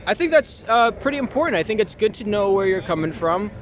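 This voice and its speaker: A man's voice: sits high, very animated, quick pace. A young American man answering casually in an interview, easygoing and hesitant as he thinks aloud. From Long Island, New York, USA. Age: young adult. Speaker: interview guest, actor and artist. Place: outdoors in a city, with traffic. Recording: noisy outdoor urban recording.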